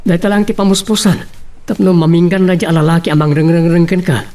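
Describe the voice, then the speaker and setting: Higher-voiced easygoing Ilocano boyfriend, played by a man. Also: little pitch movement, brisk, background noise, natural voice. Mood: consoling. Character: easygoing young boyfriend, an office employee. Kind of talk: Ilocano radio-style romance drama acting.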